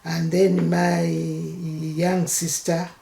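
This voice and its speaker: Older Ugandan woman, low gravelly voice, hesitant, slow, recorded in an echoey room; oral history interviewee. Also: little pitch movement, mellow. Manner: hesitant, halting, with many restarts.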